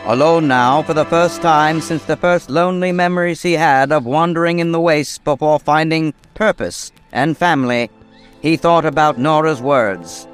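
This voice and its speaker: A man playing the storyteller of an epic radio mystery, narrating with grave, dramatic, deliberate phrasing. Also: on the high side, lightly acted. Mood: reflective. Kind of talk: scripted old-time radio drama narration. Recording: music behind the narration.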